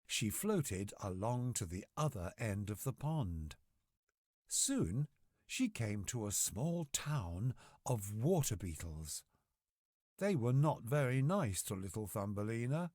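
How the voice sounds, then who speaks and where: A man tells a bedtime story for children in a low voice that rises and falls expressively. He takes his time with deliberate phrasing, reading from a script at a slow pace. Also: big pitch swings, darkish tone, no room sound, studio quality.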